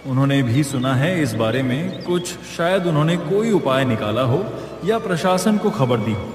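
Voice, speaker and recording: A man's voice: mid-range voice, lively intonation, moderate pace, a little mellow, natural voice. A man voicing a worried village man in a cartoon, urgent and earnest. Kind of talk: Hindi moral story cartoon dub. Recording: echoey reverb over faint music.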